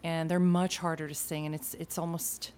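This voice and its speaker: A woman's voice: low voice, little pitch movement, moderate pace, a little mellow, a little hiss or hum. A woman from Argentina who sings, speaking thoughtfully in a casual conversation. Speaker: singer.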